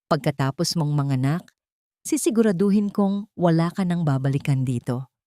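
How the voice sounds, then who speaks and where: A woman voicing a haughty older mother-in-law, a rich matriarch with a sharp, sarcastic and commanding manner. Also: low-pitched, expressive, moderate pace, no echo, clean studio sound. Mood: sarcastic contempt.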